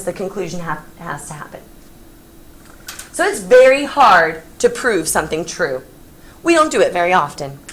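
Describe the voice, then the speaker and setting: Woman teaching in echoey classroom. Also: medium pitch. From Texas. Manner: lively, playful, coaxing students. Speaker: high school geometry teacher. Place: classroom, recorded from the back. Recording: echoey classroom, faint room noise.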